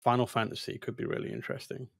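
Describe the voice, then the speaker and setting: Man, gravelly fry, mid pitch, casual chat, close dry mic. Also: very fast, no room sound. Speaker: podcast panelist. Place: indoor room, close mic. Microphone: microphone on boom arm, headset.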